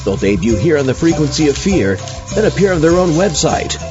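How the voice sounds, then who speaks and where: A man's voice: a little high, sing-song, fairly quick. A man hosting a podcast, introducing segments to his listeners. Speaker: podcast host. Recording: muffled lo-fi, music underneath. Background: music.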